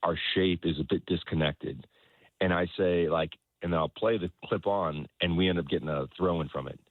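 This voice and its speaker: Deep-voiced man in a roomy, dull recording. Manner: halting, repeats words and often checks in with "right?". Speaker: soccer head coach and interviewee. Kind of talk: conversational sports interview, explaining his coaching philosophy. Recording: roomy echo, dull lo-fi sound.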